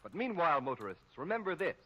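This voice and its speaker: High, animated vintage radio announcer, a man. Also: fast, crowd noise. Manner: emphatic, salesmanlike. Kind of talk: scripted 1930s radio advertisement. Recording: muffled vintage radio broadcast.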